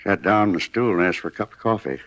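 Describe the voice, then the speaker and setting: Casting: injured diner proprietor, low voice, victim giving a statement, old-time radio crime drama. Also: male voice, expressive, quick pace, a bit bright, dull audio. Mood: weak, halting. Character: wounded cafe owner, weakly recounting a robbery. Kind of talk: 1950s radio drama acting. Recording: 1950s radio recording.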